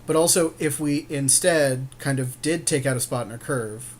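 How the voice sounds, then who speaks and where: A man's voice: mid-range voice, moderate pace. Casual, slangy man over background noise. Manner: rambling, slangy, swearing. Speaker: podcast co-host. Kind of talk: casual gaming commentary with a friend.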